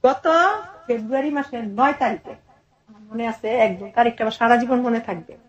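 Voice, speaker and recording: A middle-aged woman with a fairly high voice and a Bangladeshi accent. She recounts her own life to an interviewer in earnest detail, often acting out what was said in reported speech. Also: moderate pace, lo-fi sound. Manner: earnest, detailed narration with quoted dialogue. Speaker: interview guest telling her life story. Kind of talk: personal storytelling in conversation.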